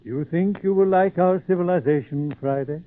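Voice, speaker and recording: Gentle old father played by an actor. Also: high voice, normal speed, no room sound, natural voice. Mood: tender, thoughtful. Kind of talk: 1940s radio drama acting. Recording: tinny phone-like old radio recording.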